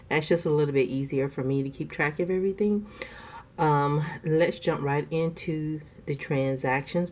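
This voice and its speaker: A woman's voice: deep voice, warm tone. A woman hosting a casual budgeting vlog from home, a bit congested from asthma, chatty and laughing often as she counts cash aloud. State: congested from asthma, clearing throat. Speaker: budgeting channel host.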